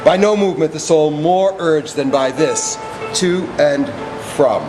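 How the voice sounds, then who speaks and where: Stage play, echoey space: male actor as servile orator, pompous and pedantic, measured declamatory delivery, voice a little high. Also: over loud music, close to an everyday voice. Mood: pompous, declaiming. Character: Words, servile pompous male orator reciting set speeches. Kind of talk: theatrical stage monologue.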